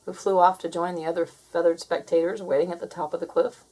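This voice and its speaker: A woman reading a children's story aloud as an expressive storyteller, in scripted narration. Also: lowish voice, fast talker, poor audio.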